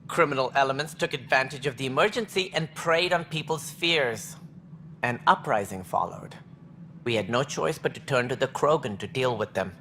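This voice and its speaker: An actor playing a stern, proud alien administrator in a video game, speaking in formal, measured, clipped phrases. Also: male voice, mid-range voice, pushed and bright, a little hiss or hum. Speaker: alien colony director.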